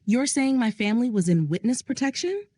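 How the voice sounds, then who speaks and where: A woman's voice: fairly high pitch, sing-song, fairly quick, dark tone, dry recording, studio quality, pitched above the performer's own voice. A woman voicing a frightened young woman. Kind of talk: audio drama reading.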